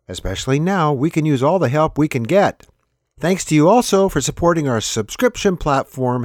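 A man's voice: on the high side, darkish tone, no room sound, clean studio sound. A man reading a scripted announcement as a program announcer.

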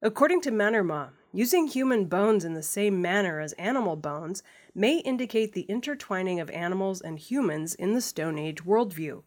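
A woman news host reading scripted stories in a measured, formal manner. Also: mid-range voice, lively intonation.

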